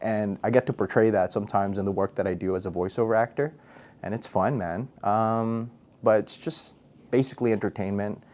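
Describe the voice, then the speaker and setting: Young man from Guam, radio host and MC, relaxed and playful, casual interview tone. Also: lowish voice, a little fast. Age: young adult. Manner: goofy, laid-back, chatty with fillers. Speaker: radio personality and MC, interview guest.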